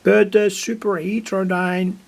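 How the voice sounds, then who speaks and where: A man talks through a hobby electronics demonstration. His voice sits slightly high for a man and has a gravelly fry. He goes very slowly and deliberately, hesitating and repeating himself. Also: flat delivery. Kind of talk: informal technical demonstration, narrated live.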